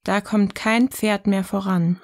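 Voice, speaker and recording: Eine Frau spielt in einem Hörspiel eine provokante, ironische Gesprächspartnerin, die bedächtig und neckend philosophiert. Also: female voice, mid-range voice, fairly even intonation, takes it slowly. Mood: musing, ironic. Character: provocative, ironic woman sparring in philosophical dialogue. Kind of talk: scripted audio drama dialogue, philosophical banter.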